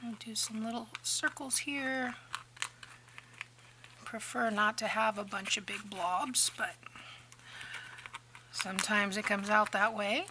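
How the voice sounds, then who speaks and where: A woman hosting a casual crafting tutorial, chatty and rambling, sometimes dropping to a near-whisper, her voice a little hoarse. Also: mid-range voice, fairly even intonation, takes it slowly, long pauses, background noise. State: slightly hoarse, losing her voice. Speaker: craft channel host.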